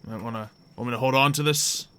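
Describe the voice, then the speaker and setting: Man playing a brisk, bright-voiced town guard. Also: medium pitch, clean tone, natural voice. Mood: brisk, helpful. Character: brisk male town guard, suspicious but polite.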